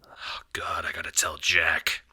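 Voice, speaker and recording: Man acting menacing, low-voiced radio drama crook. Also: level tone, unhurried, pushed and bright, breathy edge, exaggerated voice. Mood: panicked. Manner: threatening, foul-mouthed, turning to panicked whispers.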